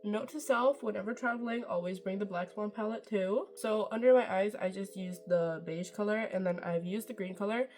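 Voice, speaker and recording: A woman's voice: mid-range voice, normal speed, few pauses, darkish tone, faint music behind. Chatty young woman doing a makeup tutorial. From Norway. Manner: chatty, rambling, self-deprecating, swears casually. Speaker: beauty vlogger. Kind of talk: casual makeup tutorial chat.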